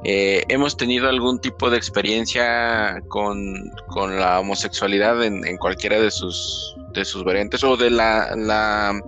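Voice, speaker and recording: A man hosting a casual podcast conversation, talking in a halting way with many restarts and fillers. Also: on the low side, fairly even intonation, a bit bright, lo-fi sound, faint music behind. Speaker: podcast host.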